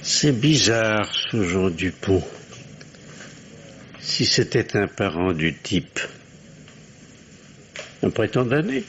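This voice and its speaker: Dull old recording, low-voiced nervous family man. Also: sing-song, slow pace, long pauses, a little hiss or hum, full character voice. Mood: anxious musing. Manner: hesitant, swinging between obsequious and indignant. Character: nervous, sarcastic middle-aged family man, dutiful son, tender father. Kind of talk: French radio drama acting.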